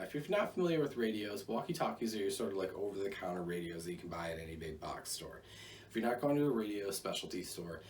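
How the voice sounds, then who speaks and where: A man's voice: sits low, a little mellow. An American man giving a rambling, conversational product review with jokey asides. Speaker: gear reviewer. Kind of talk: casual product review to camera. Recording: distant mic, faint background noise.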